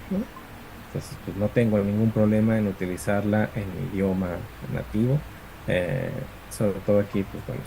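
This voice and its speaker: Low gravelly man giving an online lecture. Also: warm tone, a little hiss or hum, low-quality recording. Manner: explanatory, many fillers and tag questions. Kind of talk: online class lecture with screen share. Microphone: computer mic on video call.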